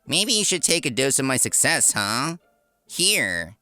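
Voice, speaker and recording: A man's voice: mid-range voice, very animated, a little slow, pushed and bright, dry recording, soft background music, pitched above the performer's own voice, heavily acted. A man voicing a condescending, mocking younger brother in a manga story. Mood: smug contempt. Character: sneering, smug younger brother with a corporate job.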